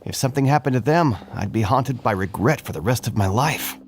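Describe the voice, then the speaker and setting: A man's voice: medium pitch, lively intonation, brisk, natural voice. An actor playing a jokey, ambitious male city guard in a video game. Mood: eager.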